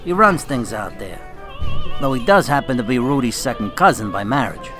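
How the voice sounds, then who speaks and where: A man's voice: medium pitch, lively intonation, moderate pace, lightly acted. A shrewd, proud Italian-American mafia boss acted by a man holds court in a radio crime drama. He talks with wry, streetwise ease, and music plays prominently behind him. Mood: assured. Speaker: voice actor.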